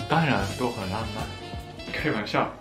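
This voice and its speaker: A man's voice: on the low side, expressive, moderate pace. Young man, from France, casual vlog host, playful, self-mocking, echoey room, faint background music. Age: young adult. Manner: playful, jokey, laughs at himself. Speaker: vlogger answering viewer questions. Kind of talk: casual Q&A vlog, switching between French and Chinese.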